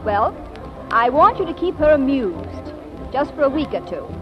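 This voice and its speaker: A dull vintage radio recording with music behind. a breezy, scheming wife, high and swooping in pitch, with a wry 1940s comedy delivery. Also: female voice, big pitch swings, normal speed. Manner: breezy, sardonic. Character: brisk, wry, scheming wife in a marriage of convenience.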